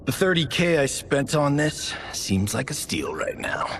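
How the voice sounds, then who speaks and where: Cocky, swooping high-voiced fighter. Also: male voice, sing-song, unhurried, noisy background. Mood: smug, flirtatious. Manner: smug, drawling, flippant. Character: cocky, flirtatious vain male fighter, flippant. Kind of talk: video game cinematic acting.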